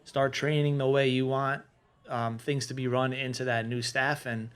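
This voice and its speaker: A man with a mid-pitched voice gives casual advice in a podcast discussion. He explains things conversationally, setting out his points one after another as he goes. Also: little pitch movement, moderate pace, a bit bright, clean tone. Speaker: podcast panelist giving restaurant management advice.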